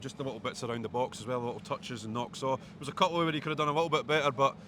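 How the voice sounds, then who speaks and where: A man with a medium-pitched voice speaks at a rapid pace in casual football fan talk. The recording is outdoors, with faint urban noise in the background. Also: fairly even intonation. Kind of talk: casual post-match reaction chat. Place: outdoors outside a football stadium. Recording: outdoor street noise behind.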